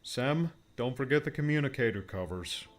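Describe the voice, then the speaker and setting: A man voicing a friendly adult mentor who gives quick instructions. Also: medium pitch, animated, voice pushed up, close to an everyday voice. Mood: reminding. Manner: brisk, instructive.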